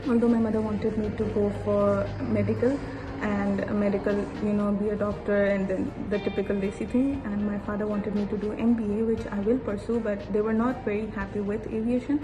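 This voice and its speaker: A 21-year-old woman raised in Saudi Arabia, introducing herself as a guest in a casual interview, with hesitant pauses and restarts and some Urdu mixed in. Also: medium pitch, flat delivery, over music, rough recording. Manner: hesitant, many fillers and restarts, mixing in Urdu. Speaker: interview guest, aviation student.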